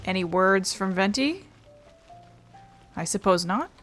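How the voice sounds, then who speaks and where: A woman's voice: medium pitch, lively intonation, slow pace, a bit bright. A young woman giving casual let's play commentary, chatting and rambling as she goes. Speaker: gaming commentator. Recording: faint game music behind the voice.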